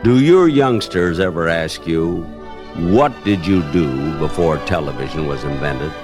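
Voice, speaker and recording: A man's voice: low voice, animated, measured pace, music in the background. A man giving scripted, nostalgic narration in a wry, conversational way, as on a radio retrospective record.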